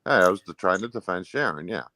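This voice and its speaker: Low-voiced man, rambling and defensive. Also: lively intonation, fast talker, pushed and bright, no room sound. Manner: rambling, peppered with "you know". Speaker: interview guest. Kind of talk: casual, defensive explanation.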